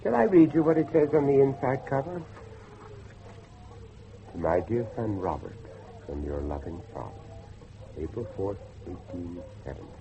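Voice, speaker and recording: An actor playing an older, devout, haunted Victorian father in a theatrical horror drama, speaking haltingly. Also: on the low side, big pitch swings, warm tone, muffled recording, a little hiss or hum. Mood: grave, searching. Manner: theatrical, halting, repeats himself. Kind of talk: dramatic horror audio acting.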